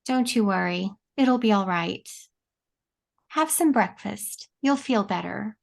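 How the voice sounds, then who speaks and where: A woman's voice: medium pitch, lively intonation, slow, gravelly. A woman playing a kindly, naive hospital patient in a comic play, soothing and comforting. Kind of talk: comic stage play reading.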